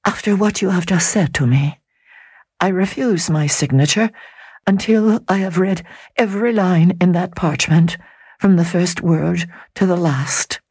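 A reader voicing a young, gentle but resolute lady who speaks firmly and with dignity. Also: medium pitch, unhurried, slightly rough, dry recording, studio quality, voice pushed up. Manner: firm, dignified.

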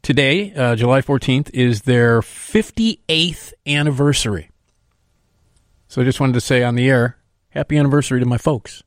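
Stammering radio host in a dry studio. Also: male voice, mid-range voice, normal speed, no echo. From Chicago. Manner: chatty, stammering with repeated words. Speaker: radio talk show host. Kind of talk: radio interview.

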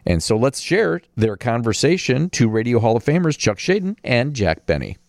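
A man's voice: medium pitch, very animated, normal speed, gravelly, a little mellow, no echo. A man speaking as a radio host, introducing guests and features in a studio. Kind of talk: warm-up talk and scripted introduction to an archive interview. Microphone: close studio microphone.

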